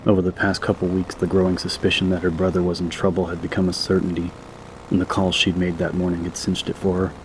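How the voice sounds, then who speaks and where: A man's voice: low voice, flat delivery, fast, slight background noise, rough recording. A man narrating an audiobook from a script in a dramatic story style.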